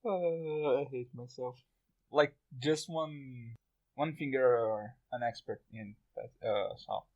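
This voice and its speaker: A man hosting a group podcast, casually putting questions to his guests and halting as he searches for words. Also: mid-range voice, a little mellow, dull audio. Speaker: podcast host, interviewer.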